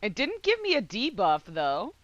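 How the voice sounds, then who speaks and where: A woman with a bright, wildly animated voice chats through a game stream. She is excitable, giggly and sweary, and the sound is slightly dull with faint music behind. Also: medium pitch, normal speed, no echo. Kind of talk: casual live game commentary.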